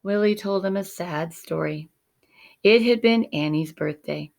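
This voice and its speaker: A woman teacher narrating a children's picture book expressively, measured and dramatic, often dropping to hushed tones. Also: fairly low pitch, vocal fry.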